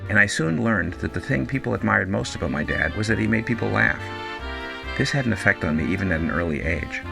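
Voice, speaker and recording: A man's voice: fairly low pitch, expressive, a little fast, vocal fry, over music. A man giving a scripted, storytelling introduction as the producer of a show.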